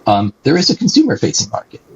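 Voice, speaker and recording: A man's voice: medium pitch, wildly swooping pitch, fast, slightly rough. A man being interviewed as a guest, explaining things animatedly and tagging sentences with "right?", on a dull lo-fi recording. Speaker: guest, company executive. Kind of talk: conversational interview answers.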